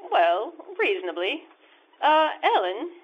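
A woman playing a kindly, flustered older mother-in-law in a radio play, halting and exclamatory. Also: fairly high pitch, big pitch swings. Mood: awkward, hesitant. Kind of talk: theatrical radio play acting. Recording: dull lo-fi live stage recording.

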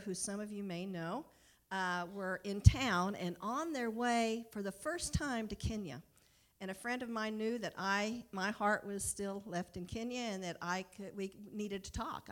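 A middle-aged or older American woman giving an informal, enthusiastic personal talk to a congregation as a mission worker. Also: mid-range voice. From United States. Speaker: mission partner for a hospital, guest speaker.

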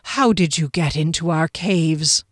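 A woman's voice: sits low, measured pace, no room sound. Spooky spirit guard lady doing it for a fantasy audiobook, hissy n bossy, accusing, super dry sound. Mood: suspicious, challenging. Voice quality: hissing. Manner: accusing, commanding. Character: menacing ethereal cave guard, hissing interrogator.

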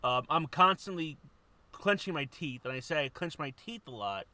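A man's voice: fairly high pitch, lively intonation, normal speed, bright, forceful tone. A man co-hosting a podcast, rambling and swearing casually as he laughs easily. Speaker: podcast co-host.

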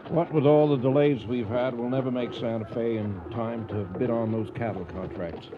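An actor playing a stubborn, devout veteran cattle drover in a western radio drama. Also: male voice, medium pitch, fairly even intonation, normal speed, natural voice. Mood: stubborn, confident. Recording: noisy, dull old radio drama recording.

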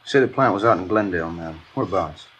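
An actor playing a businesslike police detective in a 1950s radio crime drama, asking clipped, matter-of-fact questions. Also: male voice, low voice, expressive, fast, fairly bright tone, slightly rough, exaggerated voice, poor audio. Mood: probing. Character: brisk, businesslike male police detective partner.

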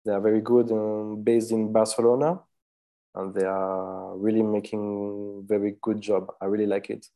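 A man from Switzerland, answering interview questions as a watchmaker guest. He speaks hesitantly, with many filler pauses and soft laughs. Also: fairly low pitch, level tone.

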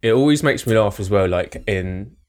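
A man's voice: lowish voice, animated, a little mellow. A young man from the UK, co-hosting a podcast, rambling and poking fun at himself. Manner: rambling, self-mocking, quick laughs. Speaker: podcast co-host. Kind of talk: casual chat.

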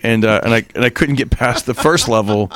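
A man who works as an actor, chatting as a guest and often halting and repeating his words. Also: medium pitch, fairly bright tone. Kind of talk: casual chat.

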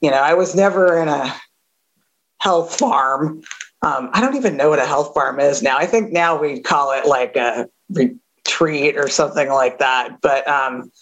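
A woman with a gravelly voice giving a candid recovery share, halting with many fillers and a wry edge. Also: low voice, poor audio. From Southern California. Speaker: AA speaker.

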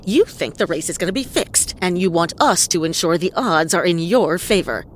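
An actress playing a tough, wisecracking private detective in a comic noir audio drama, dry and unflappable. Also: female voice, on the low side, expressive, normal speed, a little hiss or hum, natural voice. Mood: shrewd, probing.

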